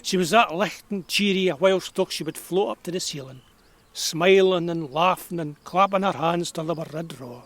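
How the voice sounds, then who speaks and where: A man's voice: fairly high pitch, normal speed, fairly bright tone, lightly acted. An actor playing a kindly, nostalgic old man of about eighty with a broad rural Perthshire Scottish accent, reminiscing in a folksy way in an audio drama. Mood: fond, nostalgic. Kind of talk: naturalistic audio drama storytelling.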